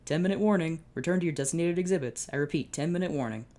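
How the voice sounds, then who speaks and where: A man's voice: on the high side, quick pace, warm tone, pitched above the performer's own voice, lightly acted. A man voicing a flustered, bossy night guard who barks out announcements. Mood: announcing.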